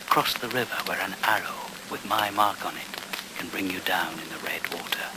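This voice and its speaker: An actor, a man, playing a solemn hunter-shaman in a radio play, with a reverent, declamatory, incantatory delivery. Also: sits low. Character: solemn male shaman of a reindeer-hunting people. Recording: noisy old radio play recording.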